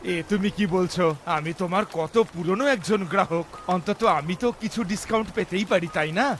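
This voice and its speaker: A man's voice: high voice, fairly quick, close to an everyday voice. A man playing a chatty, familiar customer who likes to haggle in a cartoon story. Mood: haggling. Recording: music behind the voice.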